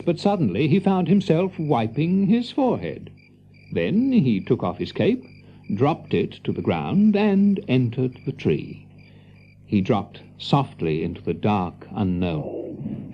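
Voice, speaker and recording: A man narrating a scripted children's story dramatically, as an expressive, confiding storyteller. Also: lowish voice, unhurried, lo-fi sound, a little hiss or hum.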